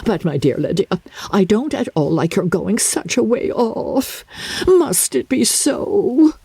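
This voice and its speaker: A woman's voice: medium pitch, very animated, takes it slowly, warm tone, breathy edge, no echo, voice pushed up, exaggerated voice. A woman voicing a fluttery, doting middle-aged mother, effusive and plaintive, in a storybook reading. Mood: plaintive, fretful. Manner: effusive, fretful exclamations.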